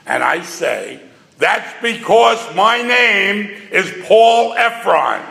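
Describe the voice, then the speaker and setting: An older man tells his story slowly and deliberately, his voice deep with gravel and grit. He speaks into a stage microphone, and his wry, self-mocking asides ring out through a large hall with a long, roomy reverb. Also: big pitch swings, sharp tone. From New York. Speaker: speaker addressing an audience. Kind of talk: personal storytelling talk. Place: large hall before a live audience.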